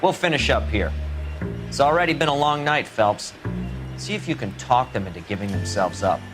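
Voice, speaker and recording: Lively male police evidence technician, played by an actor. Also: mid-range voice, moderate pace, music in the background, natural voice. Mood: helpful, informative. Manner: explanatory. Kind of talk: video game dialogue.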